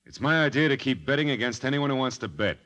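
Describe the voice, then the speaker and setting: An actor playing a cool, resolute high-rolling gambler, terse and unruffled, in old radio drama style. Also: male voice, big pitch swings, quick pace, a bit bright. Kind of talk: 1940s-50s radio drama acting.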